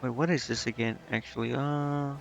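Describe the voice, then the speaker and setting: Gravelly man streaming a video game. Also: medium pitch, steady tone, moderate pace. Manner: musing, questioning himself as he reads menus. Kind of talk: casual gameplay commentary, thinking aloud. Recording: muffled lo-fi mic with clear background noise.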